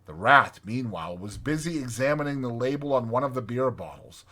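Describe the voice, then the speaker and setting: Male audiobook narrator, expressive storytelling, bright forceful tone, slightly raspy. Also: medium pitch, a little fast.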